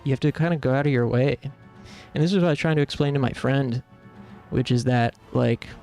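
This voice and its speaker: A man's voice: medium pitch, fairly even intonation, a little fast, vocal fry, a little mellow, soft background music. A man in his thirties from the United States, chatting casually and earnestly with stream viewers, rambling with lots of fillers. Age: 30s.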